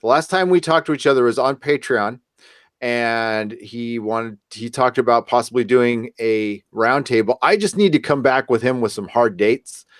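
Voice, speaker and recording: A man hosting a podcast in casual chat, with a halting, stammering, jokey delivery. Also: medium pitch, dry recording, clean studio sound. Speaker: podcast host.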